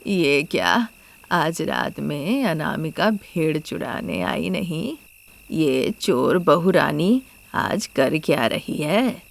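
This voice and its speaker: A shady village man, put on by a woman narrator, mutters in a low, slow voice. He sounds impatient, a schemer from a cartoon moral story. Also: lively intonation, vocal fry, full character voice. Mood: impatient, puzzled.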